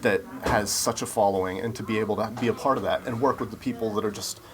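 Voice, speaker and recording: Male interview guest, lower register, earnest and explanatory, casual delivery, crowd chatter in the background. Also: steady tone, fast talker. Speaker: interview guest, video game developer.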